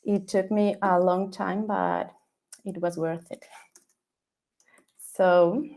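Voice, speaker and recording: A woman from Ecuador, giving a student presentation over a video call in halting, English. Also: mid-range voice, flat delivery, gravelly, a little mellow. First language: Spanish. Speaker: data analytics student presenting.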